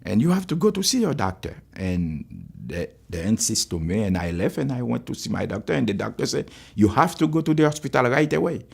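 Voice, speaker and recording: A man's voice: medium pitch, big pitch swings, brisk, vocal fry, husky. A Haitian-born man in his sixties, a pastor who speaks Creole first, telling his life story earnestly in plain, repetitive English with a Haitian accent. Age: 60s. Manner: earnest, simple phrasing, repeats himself. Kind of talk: personal testimony.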